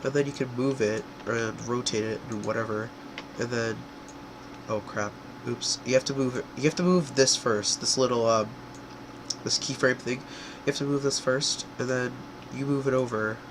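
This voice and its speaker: A man's voice: mid-range voice, steady tone, normal speed, background noise. Congested young man rambling through a tutorial. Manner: rambling, filler-heavy, self-correcting. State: congested from a cold. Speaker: tutorial creator. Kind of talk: casual screen-recorded software tutorial.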